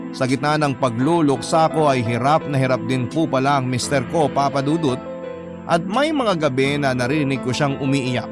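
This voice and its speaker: Male Filipino narrator for dramatic letter readings, mid-range voice, clear music bed behind. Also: mid-range voice. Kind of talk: dramatic first-person story narration.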